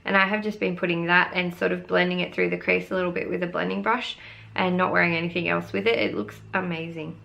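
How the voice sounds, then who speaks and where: Hoarse young woman with vocal fry. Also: lowish voice, flat delivery, fast, sharp tone. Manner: chatty and gushing, showing products to camera. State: losing her voice. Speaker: beauty vlogger. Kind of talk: casual product review vlog.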